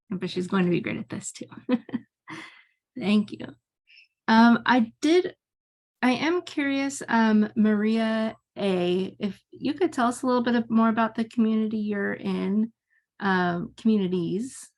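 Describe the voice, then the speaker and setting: A woman's voice: mid-range voice, creaky, darkish tone. A woman speaking in a friendly way with small laughs as she thanks the host and asks a member to share. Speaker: outgoing hub member. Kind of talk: video-call community conversation. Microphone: video call.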